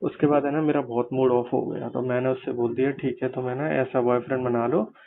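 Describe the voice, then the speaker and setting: Over a thin, tinny phone connection, a man plays a lovelorn young man with a medium-pitched voice. He rambles in a colloquial, confiding way, sulky and hesitant, as if on a casual call. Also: fairly even intonation, fairly quick, slight background noise, close to an everyday voice. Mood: frustrated. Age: young adult. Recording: narrow, tinny phone-line sound.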